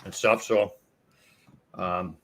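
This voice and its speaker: Bright-voiced man, rambling guest. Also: deep voice, fairly even intonation, extremely slow, a little hiss or hum. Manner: loose, rambling, swears casually. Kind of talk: casual live-stream chat.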